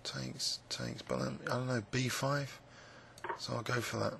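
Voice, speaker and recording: A man with a croaky, gravelly voice, musing hesitantly with many fillers as he commentates his own blitz chess game. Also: medium pitch, fairly even intonation, measured pace, noisy background. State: croaky voice, clearing throat. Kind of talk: live game commentary, thinking aloud.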